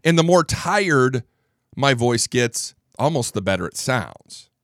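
A man's voice: mid-range voice, very animated, takes it slowly, a bit bright, no echo. A middle-aged man hosting a political talk show in a studio, rambling and stammering through restarts, feeling under the weather. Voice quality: strong voice, gravelly when tired. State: under the weather, low energy. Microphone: studio microphone.

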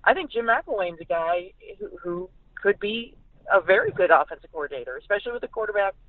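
A woman's voice: mid-range voice, expressive, fairly quick, no room sound. A woman with an American accent giving emphatic, opinionated sports analysis as a journalist guest, over a phone-like line. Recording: phone-like narrow-band radio sound.

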